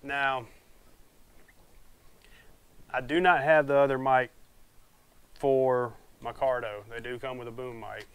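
A man's voice: mid-range voice, level tone, takes it slowly, long pauses, smooth. Plainspoken man unboxing gear. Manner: plainspoken, rambling, folksy. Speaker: motovlogger reviewing gear. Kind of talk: casual unboxing talk to camera. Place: small home room with shelves, indoors. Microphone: wireless lapel mic.

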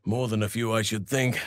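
An actor playing a gentle, earnest druid and guardian of children in a fantasy game, speaking in formal, measured, courtly phrases. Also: male voice, fairly low pitch, no echo, studio quality, close to an everyday voice.

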